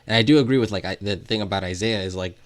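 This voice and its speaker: A man's voice: lowish voice, racing. A man co-hosting a podcast, talking casually and rambling through his thoughts with lots of "like" as filler. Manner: rambling, hesitant, heavy use of "like". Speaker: podcast co-host. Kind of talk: casual music discussion.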